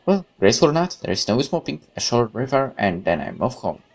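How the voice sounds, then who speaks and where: A man doing a let's play, reading game text aloud and adding chatty, expressive commentary. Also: medium pitch, fairly quick, creaky. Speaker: gaming YouTuber. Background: guitar music.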